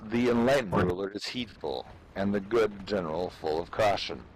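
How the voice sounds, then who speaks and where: A man giving a theatrical, portentous dramatic reading of an ancient military treatise, with playful asides. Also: low-pitched. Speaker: amateur reader performing for a live audience of gamers. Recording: clearly noisy background.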